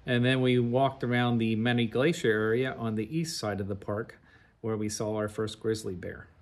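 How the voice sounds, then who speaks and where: A man's voice: fairly low pitch, steady tone, normal speed. A middle-aged man narrating a travel slide show in a conversational, orderly and detailed way. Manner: recounts in an orderly, chronological, detailed way.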